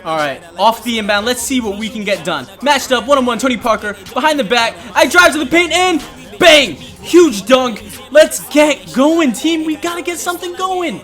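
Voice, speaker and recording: A man's voice: very high voice, expressive, moderate pace, a bit bright, soft background music. A man giving self-hyping play-by-play commentary on his own basketball video game, narrating each play in the present tense. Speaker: video gamer and channel host.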